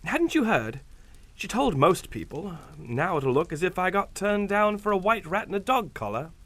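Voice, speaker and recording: An actor playing a hearty, blustering English captain with an old-fashioned upper-class manner in a radio suspense drama. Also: male voice, high voice, sing-song, normal speed, fairly bright tone, exaggerated voice. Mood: genial bluster. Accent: British. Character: blustering, genial English captain, an uneasy guest.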